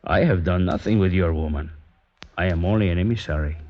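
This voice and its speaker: Low mocking guitar player, cold and taunting, muffled old radio sound. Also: male voice, brisk. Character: sardonic menacing male guitar player. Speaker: emissary of the kidnappers. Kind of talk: 1950s radio drama acting. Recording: dull old radio broadcast recording.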